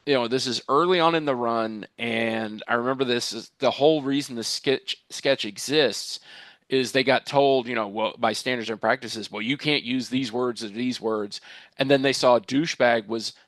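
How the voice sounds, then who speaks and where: A man's voice: medium pitch, moderate pace, a bit bright, no room sound. A man talking casually as a panelist.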